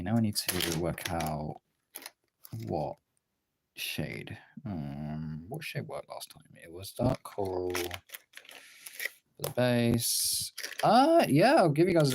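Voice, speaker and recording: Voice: medium pitch, background noise. A livestream host with a congested, tired voice, chatting casually and informally to viewers while drawing, rambling and swearing now and then.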